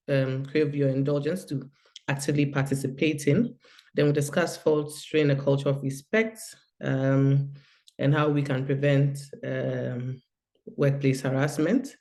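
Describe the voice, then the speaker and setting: A woman's voice: low-pitched, a little mellow. A woman with a cold and a nasal voice, giving a hesitant online presentation over a video call. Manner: hesitant, many fillers. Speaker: guest speaker.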